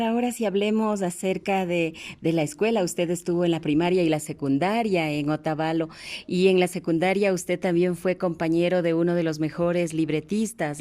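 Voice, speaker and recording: A woman's voice: lowish voice, dark tone. A woman asking formal interview questions in a courteous, deferential way.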